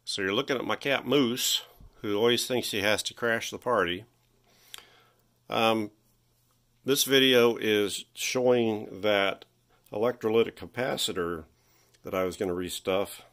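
A man giving a casual workshop walkthrough, halting and folksy as he thinks aloud, recorded indoors on a handheld device. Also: fairly low pitch, fairly bright tone. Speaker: hobbyist electronics restorer presenting his repair.

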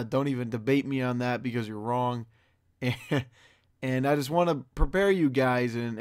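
A hoarse young man talking straight to his viewers in a casual, excited vlog intro, loose and full of fillers. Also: medium pitch. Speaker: wrestling fan vlogger. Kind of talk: casual direct-to-camera intro.

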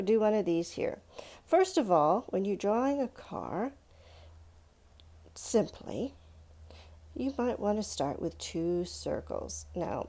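A woman's voice: medium pitch, gravelly, dark tone. A woman giving a step-by-step children's drawing lesson, asking simple questions and encouraging young viewers directly. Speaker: children's art teacher. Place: indoors at a stone countertop.

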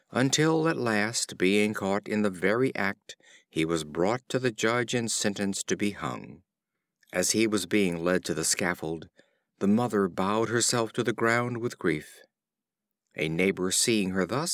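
A man narrating a storybook of fables in a measured, expressive reading. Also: on the low side, no room sound, clean studio sound. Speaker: audiobook narrator.

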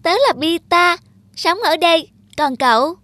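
A woman's voice: extremely high pitch, expressive, unhurried, fairly bright tone, heavily acted. A woman voicing a cautious, loyal young mug in a cartoon, earnest and childlike. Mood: cautious warning.

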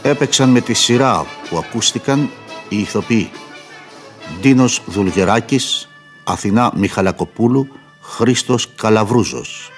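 A man's voice: lowish voice, faint music behind. A man announcing formally from a script as a radio presenter.

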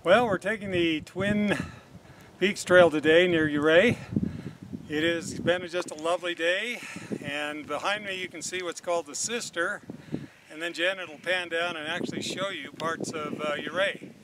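An older man with a notably high voice guides viewers along a mountain trail in an upbeat, chatty way. He speaks outdoors into a camera that a companion holds. Heavy wind and outdoor noise wash over the recording. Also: moderate pace. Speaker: hiker and channel host. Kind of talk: casual hiking vlog.

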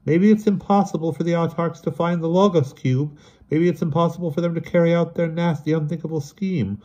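A man's voice: fairly high pitch, warm tone, lo-fi sound, voice pushed up, lightly acted. A man voicing a weary, grave older brother who speaks with theatrical, exasperated emphasis, as in an audiobook. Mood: snapping, insistent.

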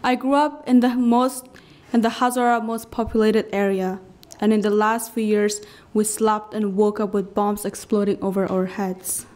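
A woman's voice: medium pitch, steady tone, darkish tone, slight background noise. Young Afghan woman, English, earnest podium storyteller, phrase-by-phrase delivery. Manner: earnest, emphatic, pausing between phrases. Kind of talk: personal story told on stage. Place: cultural centre stage. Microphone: podium mic on stand.

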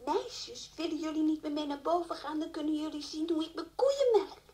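A woman's voice: sits high, moderate pace, dark tone, distant mic, natural voice. An actress playing a naive, chatty young schoolgirl answering questions in a radio drama. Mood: earnest, innocent.

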